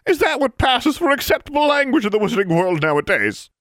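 A man voicing a haughty, dramatic old woman, a painted crone who speaks in a shrill, indignant way. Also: very animated, a little fast, fairly bright tone, no room sound, studio quality, full character voice.

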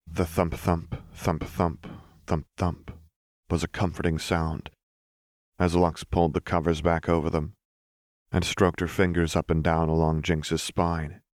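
Male audiobook narrator, deep register, measured dramatic storytelling, dry studio-style sound. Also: level tone, darkish tone, no echo. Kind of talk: scripted dramatic fiction narration.

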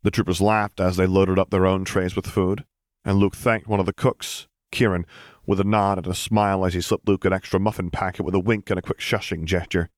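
A man's voice: deep voice, flat delivery, fairly quick, dry recording, studio quality. A man reading scripted story narration as an audiobook narrator.

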